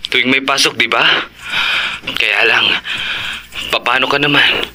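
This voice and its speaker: An actor playing a calm, reassuring husband and father in an audio horror drama. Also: medium pitch, unhurried.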